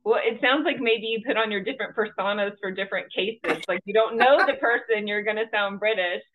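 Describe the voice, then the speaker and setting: A woman's voice: mid-range voice, a little fast. Podcast host, woman, friendly and easy to laugh, heard over a thin phone-like line. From Georgia. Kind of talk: casual podcast interview. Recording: tinny remote call line.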